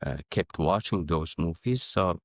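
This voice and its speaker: A middle-aged man from Afghanistan whose first language is Farsi, answering interview questions in a measured, reflective way and often restarting his sentences. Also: deep voice, animated, no echo, clean studio sound. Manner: measured, searching for words with frequent restarts. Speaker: guest, diplomat and educator.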